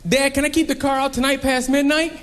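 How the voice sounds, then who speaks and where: A young man performing a stand-up comedy set on a club stage, telling animated stories and acting out characters. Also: extremely high pitch, quick pace. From Columbus, Ohio. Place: comedy club stage before a laughing crowd. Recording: live club recording with crowd laughter and noise.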